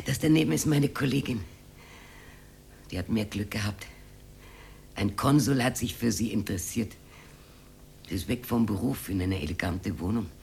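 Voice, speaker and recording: An actress playing a proud, aggrieved old cleaning woman with an Austrian accent and a husky, cracked voice in a radio drama. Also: a bass voice, darkish tone, a little hiss or hum, heavily acted. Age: older. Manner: emotional, rambling, swinging from mutter to outcry.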